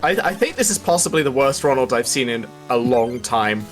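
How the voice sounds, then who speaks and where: A man's voice: medium pitch, very animated, brisk, soft background music. A man giving casual live commentary on a video game speedrun race.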